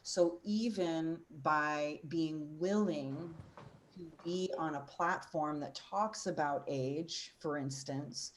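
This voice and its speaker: A woman's voice: sits low, slow pace, slight background noise, poor audio. A woman in her forties, an opera singer and teacher, speaking passionately and earnestly on a panel over a video call. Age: 40s. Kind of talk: panel discussion.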